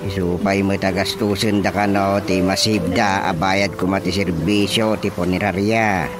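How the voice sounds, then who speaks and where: A man's voice: sits low, fairly even intonation, normal speed, noisy background, natural voice. An actor playing a practical village chairman with an Ilocano accent in a radio drama comedy. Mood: matter-of-fact. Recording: music bed behind the voice.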